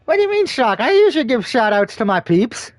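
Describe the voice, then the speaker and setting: Lively, joking male streamer. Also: extremely high pitch, brisk. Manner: crude, joking banter. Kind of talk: casual let's-play commentary and reading aloud.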